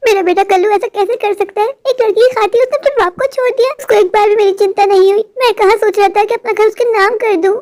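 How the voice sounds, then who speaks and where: A man's voice: very high-pitched, fairly even intonation, fast, few pauses, darkish tone. A voice actor playing a worried, self-pitying older father in a cartoon who reproaches his son. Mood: reproachful.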